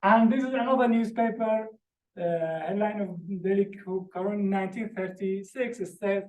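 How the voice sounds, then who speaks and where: Earnest high-voiced man lecturing in an echoey room. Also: fairly even intonation, normal speed, a little mellow. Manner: earnest, explains terms one by one. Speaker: visiting lecturer. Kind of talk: academic lecture with slides.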